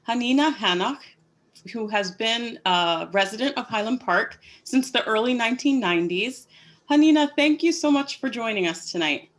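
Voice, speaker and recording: A woman's voice: fairly high pitch, fairly bright tone. A woman interviewing a guest, asking questions and responding with short encouraging affirmations. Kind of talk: casual interview conversation.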